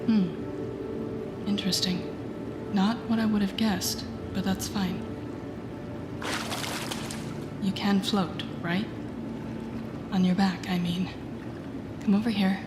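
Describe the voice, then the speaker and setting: Woman acting telepathic villainess, slow delivery, soft and breathy, teasing mentor, intimate audio roleplay, echoey space, clear music behind. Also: mid-range voice, fairly even intonation, natural voice. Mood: calm, guiding. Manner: slow, soothing, guiding, teasing. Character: teasing, confident female telepathic villain coaching a rookie hero. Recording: echoey indoor pool sound, music behind.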